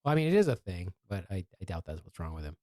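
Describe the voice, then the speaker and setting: Teasing podcast host, lively pitch. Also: male voice, quick pace, dry recording, studio quality. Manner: teasing, rambling with restarts. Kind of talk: casual podcast banter.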